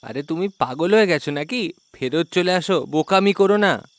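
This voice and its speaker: A man's voice: a little high, expressive, normal speed, natural voice. A man voicing an arrogant but cowardly village husband in a cartoon story, blustering and scolding. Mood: scolding, anxious. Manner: blustering, scolding, pleading when scared. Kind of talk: children's moral-story cartoon acting.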